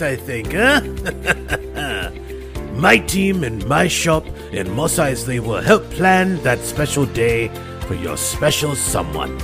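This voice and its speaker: Guy doing a blustery alien junk dealer pitching like a radio ad, high and swoopy, music behind. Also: heavily acted. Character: gruff, huckstering alien junk dealer selling wedding planning. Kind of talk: mock radio advert.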